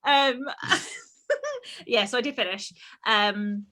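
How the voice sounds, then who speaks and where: A woman co-hosts a podcast in relaxed conversation, with a bright, forceful tone. She talks in an animated, rambling way, full of fillers and quick laughs. Her voice sits lower than usual this week. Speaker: podcast co-host. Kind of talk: casual chat.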